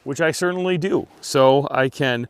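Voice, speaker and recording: A man giving a casual outdoor gear review, speaking in a halting way as he thinks aloud. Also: fairly high pitch, clear, resonant tone, dry recording.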